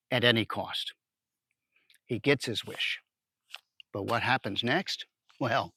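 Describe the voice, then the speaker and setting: A man's voice: mid-range voice, a bit bright. A middle-aged or older American man hosting with a conversational, wry, folksy scripted introduction. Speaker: host.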